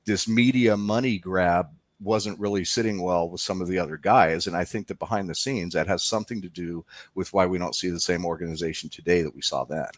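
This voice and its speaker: Low voiced guy being interviewed, explaining stuff informally, dry sound. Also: fairly even intonation, a little fast, hardly any pauses, no room sound. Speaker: filmmaker interview guest. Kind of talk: conversational interview.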